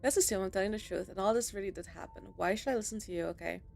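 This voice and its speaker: Creaky-voiced woman playing a game. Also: medium pitch, very fast, a little mellow. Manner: sarcastic, talks back to the game. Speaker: gaming streamer. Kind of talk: casual let's-play commentary. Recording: faint game music behind.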